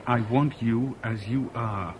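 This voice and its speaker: A man's voice: medium pitch, slow pace, highs cut off, lightly acted. An actor playing a heartbroken, self-mocking British man in his forties in a 1970s radio drama, articulate and theatrical. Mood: hurt, pleading. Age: 40s. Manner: articulate, wry, theatrical, rhetorical. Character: heartbroken, self-mocking middle-aged Englishman, a jilted lover. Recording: faint hiss on old radio broadcast.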